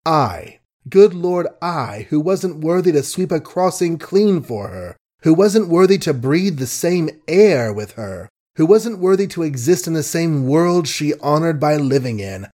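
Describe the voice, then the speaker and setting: A man voicing a lovesick young gentleman who berates himself in impassioned, desperate outbursts in an audiobook. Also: a little high, very animated, a little slow, few pauses, voice pushed up. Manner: impassioned, desperate, self-mocking. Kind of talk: audiobook character voice.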